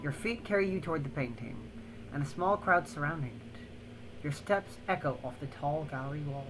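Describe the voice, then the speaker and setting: A woman reading a visual novel aloud as narrator and player, in an expressive storytelling style. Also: sits low, moderate pace, noisy background. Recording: loud music over the voice. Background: music louder than usual.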